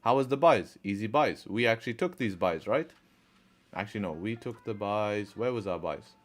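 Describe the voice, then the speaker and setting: Low-voiced man hosting a trading livestream. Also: expressive, normal speed. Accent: mixed, partly Arabic-sounding. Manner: rhetorical, repeats questions and answers to drive a point. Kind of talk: live-stream chat and chart commentary.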